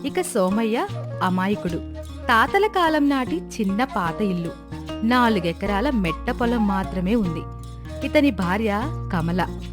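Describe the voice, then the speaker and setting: A woman's voice: medium pitch, lively intonation, unhurried, over music. A woman narrating a scripted moral story for children.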